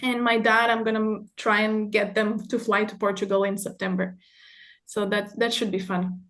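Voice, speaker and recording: A woman from Romania speaks in a relaxed, conversational way on a video call. She talks like a coach, filling pauses with soft fillers and reaching for spiritual turns of phrase. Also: mid-range voice. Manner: warm, spiritual wording, many fillers. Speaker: leadership coach. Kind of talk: casual video call chat.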